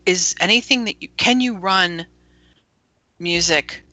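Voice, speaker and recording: A woman who runs an internet radio station, chatting as a guest, animated and laughing often as she explains things. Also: lowish voice, slow pace, dry recording. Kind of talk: casual interview chat.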